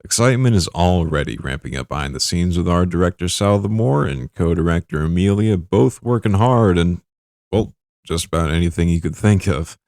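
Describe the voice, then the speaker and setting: A man's voice: low voice, vocal fry, darkish tone, no echo, studio quality. A man introducing himself as a voice actor in a scripted promotional message.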